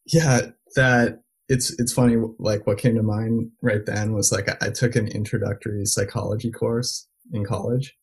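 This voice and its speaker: A man's voice: fairly low pitch, little pitch movement, moderate pace, hardly any pauses, slightly rough. A man telling stories in a casual interview, full of "like" and restarts. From United States and Belgium. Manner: lots of filler likes, restarts. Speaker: interview guest. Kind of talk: casual conversational storytelling.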